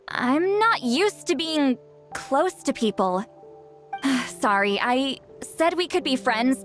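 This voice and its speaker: A woman voicing a centuries-old shaman in a video game, halting and awkward, aloof but secretly lonely. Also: high voice, full character voice. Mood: awkward, insecure. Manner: halting, flustered hesitations turning wistful.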